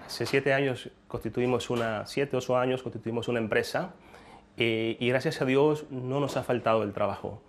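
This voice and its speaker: A man's voice: mid-range voice, normal speed, a little hiss or hum. Earnest businessman. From Colombia. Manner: earnest, faith-laden, with restarts. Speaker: business owner interviewee. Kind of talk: interview testimony. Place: indoor room before a world map.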